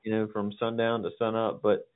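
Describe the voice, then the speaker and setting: A man's voice: on the low side, completely flat delivery, a little fast. A man, a property buyer or agent, talking bluntly and persuasively about figures on a phone call. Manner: blunt, persuasive, matter-of-fact about numbers. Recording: tinny phone line with faint noise.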